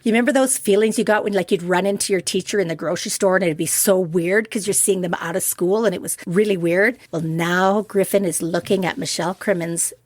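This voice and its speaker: A woman's voice: on the low side, steady tone, a little fast, hardly any pauses, no room sound. A middle-aged woman co-hosting a podcast with her son, chatty and playful, often laughing. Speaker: podcast co-host. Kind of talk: playful podcast banter and ad read.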